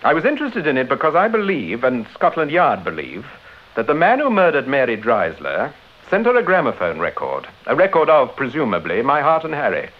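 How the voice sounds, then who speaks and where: An actor playing a suave, self-assured British gentleman detective, urbane and decisive, in a radio drama. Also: mid-range voice, huge pitch swings, fairly quick. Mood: calm, probing. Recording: dull old radio drama recording.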